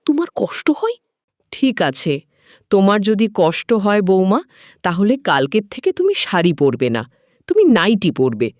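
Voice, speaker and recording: A woman's voice: medium pitch, wildly swooping pitch, a little slow, darkish tone, no echo, clean studio sound. Woman voicing a doting, coaxing older father-in-law. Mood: coaxing, admiring. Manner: coaxing, admiring, indulgent.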